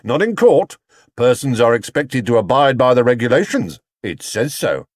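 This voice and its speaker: A man's voice: medium pitch, sing-song, normal speed, no room sound, clean studio sound, pitched above the performer's own voice. A man voicing a stern, officious railway inspector in a storybook, pompous and official in manner. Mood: ominous, official.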